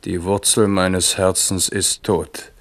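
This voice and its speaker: An actor in a German radio drama playing a grieving, remorseful French king, speaking gravely and with measured, brooding weight. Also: low voice, little pitch movement, a bit bright, exaggerated voice. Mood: remorseful grief.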